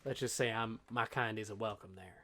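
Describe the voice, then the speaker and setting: A man's voice: fairly low pitch, steady tone, normal speed, fairly bright tone, a little hiss or hum, natural voice. A man voicing a sly, friendly red tiefling outcast in a tabletop game, casual and a little hesitant. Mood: evasive. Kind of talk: tabletop roleplay.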